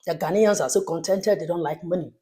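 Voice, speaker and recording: A woman's voice: on the low side, fast talker, mellow. A woman with a Nigerian accent hosting an opinion commentary, emphatic and indignant, full of rhetorical questions. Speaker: commentary show host.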